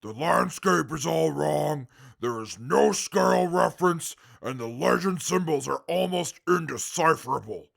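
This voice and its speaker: A man playing an arrogant, short-tempered former scout in a post-apocalyptic audio drama, with a gravelly, raspy voice and scornful, formal speech. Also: on the high side, expressive, unhurried, a bit bright, full character voice. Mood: scornful, bossy. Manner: haughty, scornful, formal wording.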